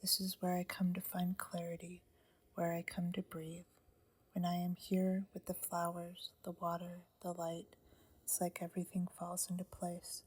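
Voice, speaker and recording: A woman voicing a serene old male painter with a soft put-on French accent, speaking in a slow, reflective whisper. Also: fairly low pitch, monotone, husky.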